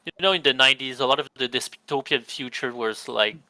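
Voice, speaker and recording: A man's voice: medium pitch, fairly quick, bright, forceful tone, no echo, rough recording. A young man hosting a gaming podcast, describing games in a rambling, casual way with many restarts. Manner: rambling, restarts mid-sentence. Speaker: podcast host. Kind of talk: casual chat.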